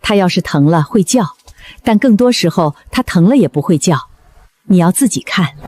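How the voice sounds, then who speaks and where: A woman's voice: fairly low pitch, animated, moderate pace, darkish tone, dry recording. A woman playing a practical, plain-spoken animal-shop keeper, firm but caring, in a scripted drama. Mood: patient, instructive.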